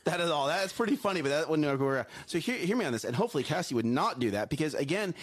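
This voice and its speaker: A man co-hosting a morning show, a coach with a loud voice, giving emphatic advice and often checking in with "okay?" and "right?". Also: on the high side, expressive, racing. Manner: emphatic, repeats himself, asks "okay?" and "right?". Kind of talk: casual chat, preachy life advice.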